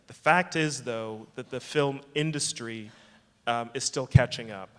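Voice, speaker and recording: A man's voice: medium pitch, a bit bright. Thoughtful man in a reverberant hall. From England. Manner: thoughtful, measured, with frequent restarts. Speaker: film festival director. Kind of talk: fireside chat conversation.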